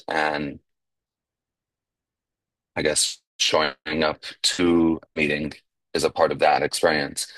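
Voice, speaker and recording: A young man raised speaking Yiddish, from New York, giving a reflective personal share at a recovery meeting, pausing and restarting as he talks. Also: rumbling low, a bit bright, no room sound, poor audio. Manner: reflective, halting, with fillers and restarts.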